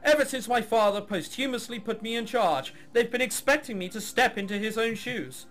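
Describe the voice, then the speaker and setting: A man's voice: extremely high pitch, lively intonation, moderate pace, a bit bright, soft background music, pitched above the performer's own voice. A man voicing a jaded young woman, a reluctant orchestra heiress in a visual novel, with sarcastic, bored delivery. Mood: wry narration.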